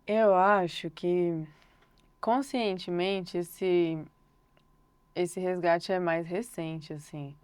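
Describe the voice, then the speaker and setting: A young woman with a Brazilian accent talks through her answers slowly, reaching for filler words as she thinks. Her voice sits low and sounds smooth and clean. The sound is dry and close, with no room around it. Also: steady tone, darkish tone, dry recording. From Brasília, Brazil. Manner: thoughtful, unhurried, hedges often with filler words. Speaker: singer-songwriter guest. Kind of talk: reflective interview answers.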